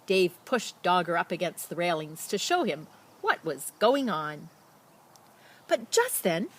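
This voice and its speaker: A woman with a medium-pitched voice reads a picture book for children. She tells it expressively, leaning on key words and pausing for drama, and slips in casual comments as she goes. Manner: expressive, dramatic emphasis and pauses. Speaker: storybook reader.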